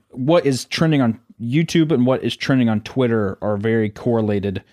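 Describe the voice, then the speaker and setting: A man co-hosting a casual podcast, talking earnestly and rambling with many restarts, with a gruff-sounding voice. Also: mid-range voice, fairly even intonation, moderate pace, a little mellow. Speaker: podcast co-host, animator.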